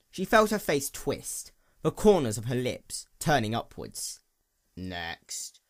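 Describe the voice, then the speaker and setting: A man reads fiction aloud as a narrator. His mid-pitched voice moves through a very lively range, and his delivery is deliberate and dramatic.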